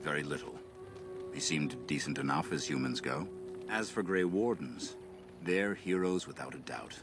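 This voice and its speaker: A man playing a kindly, solemn old elven lorekeeper in a fantasy game, speaking in a measured, formal way. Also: rumbling low, expressive. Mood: grave. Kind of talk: scripted fantasy game acting. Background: game music.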